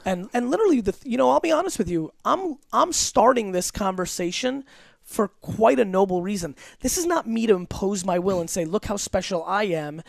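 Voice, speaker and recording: A man as a guest on an interview podcast, talking about business in a blunt, emphatic, rapid-fire way with some profanity. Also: high-pitched, lively intonation, creaky, no echo, studio quality. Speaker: entrepreneur guest.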